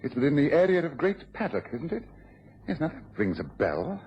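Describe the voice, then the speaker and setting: Guy playing a polite sharp british gent detective in an old radio play, precise, thinking out loud, lively pitch, muffled a bit hissy. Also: fairly quick. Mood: musing, intrigued. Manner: precise, musing aloud. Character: courteous, astute gentleman detective, keenly absorbed in cases. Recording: muffled vintage radio recording with hiss.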